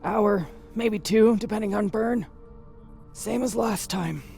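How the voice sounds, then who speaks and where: A woman's voice: medium pitch, takes it slowly, gravelly, darkish tone. An actress playing a tough, furious, foul-mouthed spaceship crewwoman in a video game, blunt and defiant. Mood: grim, gruff. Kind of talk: dramatic video game acting. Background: music.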